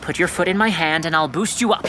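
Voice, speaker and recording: A woman's voice: lowish voice, fast, lightly acted. Boyish kid sleuth played by a woman, pompous and wordy, earnest audio-drama delivery, music underneath. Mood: insistent. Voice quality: youthful, boyish. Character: earnest, bossy schoolboy self-appointed detective. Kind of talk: children's audio drama acting with narration.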